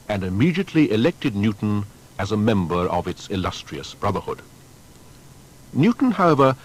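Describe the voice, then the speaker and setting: A man narrating a scripted historical biography in a formal storytelling manner with deliberate emphasis. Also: on the low side, slight background noise, low-quality recording.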